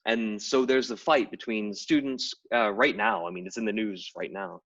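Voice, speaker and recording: A man's voice: on the low side, moderate pace, fairly bright tone. A middle-aged man, a game writer and anthropologist, explaining at length in a casual interview with many fillers and restarts. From Tidewater, Virginia. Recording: distant, dull lo-fi live stream audio.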